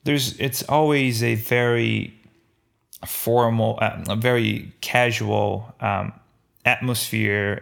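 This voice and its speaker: A low, gravelly-edged man's voice with a light Brazilian accent. He talks casually, as an interviewee telling his own story, and often pauses or repeats a word while he finds the next one. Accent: faint Brazilian. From Brazil, Belo Horizonte. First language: Portuguese. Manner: halting, repeats words while thinking. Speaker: restaurant owner being interviewed.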